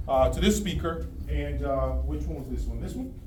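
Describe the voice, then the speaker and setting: A man's voice: on the high side, lively intonation, normal speed. A man hosting an enthusiastic on-location interview in an emphatic, effusive manner. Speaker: interviewer and host of an audio review channel. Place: large demo room at an audio show. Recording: distant mic, faint background noise.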